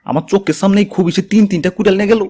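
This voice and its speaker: A man's voice: sits high, fairly even intonation, dark tone. A man voicing a greedy, scheming husband in a comic cartoon, brash and complaining, with an Old Dhaka accent. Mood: envious grumbling. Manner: brash, rapid-fire complaining. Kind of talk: comic cartoon fable voice acting.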